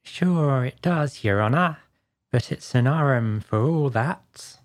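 A woman reader plays a simple manservant from a storybook. His voice sits very deep and moves slowly. He is meek and deferential, a little hesitant with his betters. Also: lively intonation, dry recording, studio quality, heavily acted. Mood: meek, earnest.

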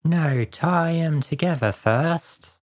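A woman narrator acts out a rough male workman from a storybook. His voice is very low and slow. He is blunt and bossy, handing out jobs to the crew. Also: a little mellow. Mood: bossy, busy.

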